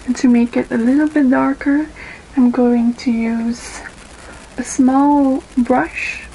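Young woman, Dutch accent, makeup tutorial, slow with ums, fairly high voice, webcam in a bedroom. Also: fairly even intonation, warm tone, soft background music, low-quality recording. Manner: unhurried, with frequent ums and pauses while applying makeup. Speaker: beauty vlogger. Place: small bedroom indoors. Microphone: webcam in front of her.

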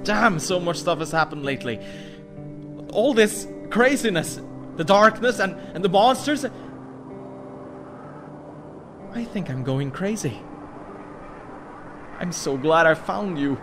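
A man's voice: sits high, animated, a little slow. An actor playing an awkward, anxious, lovestruck young man in a video game cutscene, hesitant and stammering. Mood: agitated. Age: young adult. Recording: loud music under the voice. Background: guitar music.

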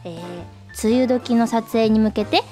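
A woman's voice: on the high side, a little slow, warm tone, faint music behind. A woman co-hosting a radio show, chatting casually and reading out messages in a studio. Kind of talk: casual radio chat, reading listener mail.

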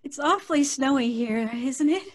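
A woman's voice: high voice, expressive, normal speed. A woman playing a timid, anxious and naive young woman with a hesitant, girlish manner in an old-time radio drama.

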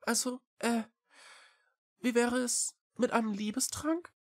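A woman's voice: sits high, big pitch swings, normal speed, pitched above the performer's own voice, full character voice. A woman voicing a small, earnest, polite male cat-like creature in an audiobook, with an eager, pleading manner. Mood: shy, hopeful. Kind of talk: audiobook character voice.

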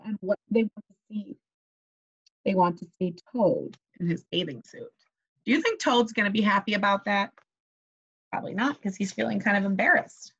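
A young woman, a teacher reading a children's story aloud in an animated way and asking her listeners questions. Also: medium pitch, husky. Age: young adult. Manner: animated, expressive. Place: small bedroom, indoors. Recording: slightly dull webcam audio.

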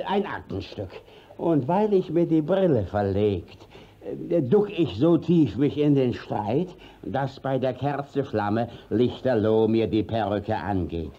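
Brief: blustering, evasive country judge, drawn-out delivery, dull vintage radio-play sound. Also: male voice, mid-range voice, lively intonation. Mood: flustered, evasive. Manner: hesitant, evasive, stammering with fillers. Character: shifty, blustering village judge covering up his misdeeds. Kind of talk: classic German radio play acting. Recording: dull old 1950s radio recording.

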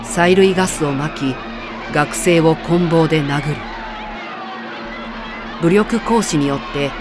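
A woman narrating a personal essay about a film, reading in a calm, reflective, measured way. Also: deep voice, crowd noise, background noise. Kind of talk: calm literary narration over film clips. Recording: voice-over with music underneath.

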